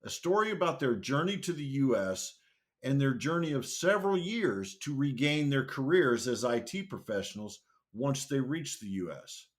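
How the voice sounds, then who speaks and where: An enthusiastic man hosts an interview podcast, his voice rising and falling in a lively, animated way. He opens with a scripted introduction and then moves into relaxed questions for his guests. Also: mid-range voice, moderate pace. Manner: upbeat, enthusiastic, lively delivery. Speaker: podcast host. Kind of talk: hosted interview.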